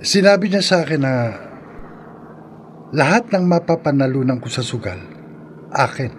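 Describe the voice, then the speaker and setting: Greedy, cocky male gambler played by an actor: fairly high pitch, horror radio acting, light background noise. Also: expressive, moderate pace, close to an everyday voice. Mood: puzzled.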